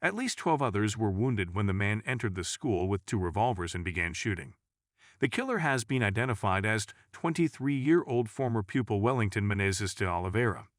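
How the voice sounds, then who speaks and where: A man narrates a scripted news report in a fairly low voice. His intonation moves widely up and down as he reads. The recording is very dry, with no room sound. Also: expressive, brisk, no room sound.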